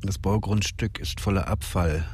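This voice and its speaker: A man narrating a crime radio drama in a measured, literary way. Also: sits low, steady tone, darkish tone, a little hiss or hum.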